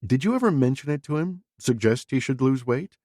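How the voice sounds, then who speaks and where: A man voicing a calm interviewer who asks flat, measured, terse questions. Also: medium pitch, dry recording, studio quality, close to an everyday voice. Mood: cool, probing.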